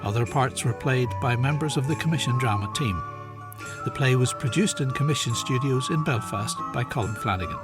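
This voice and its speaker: A man's voice: medium pitch, fairly quick. A man reading scripted closing credits as a radio announcer. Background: loud music.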